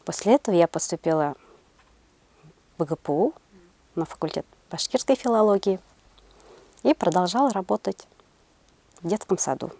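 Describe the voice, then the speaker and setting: A middle-aged woman answering interview questions in a measured, halting way, searching for her words as a teacher. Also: fairly low pitch, expressive, vocal fry, mellow, dry recording. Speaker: interview guest, senior kindergarten teacher.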